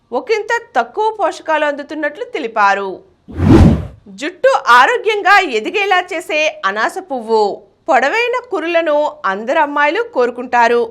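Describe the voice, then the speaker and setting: A woman's voice: sits high, a bit bright. A woman news reader delivering a scripted bulletin in a formal, emphatic manner.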